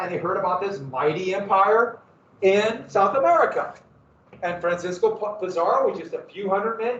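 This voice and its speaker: A man with a Montana accent giving a casual, rambling classroom history lecture as a teacher. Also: sits high. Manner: casual, rambling, self-deprecating. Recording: echoey classroom from far off, dull.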